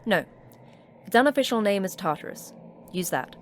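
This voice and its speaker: A woman performs a terse female facility boss in a sci-fi horror audio drama, with a middling pitch over clearly audible background noise. She is dry and sarcastic, handing out clipped instructions with little patience. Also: animated, moderate pace, lightly acted. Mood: brusque, dry. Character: terse, sardonic woman managing a secret Antarctic facility. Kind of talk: audio fiction acting.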